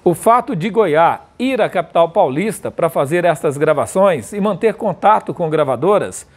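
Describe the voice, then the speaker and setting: A man's voice: high-pitched, darkish tone. A man in his fifties with a Brazilian accent, presenting a documentary in scripted narration. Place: indoors in front of a printed backdrop.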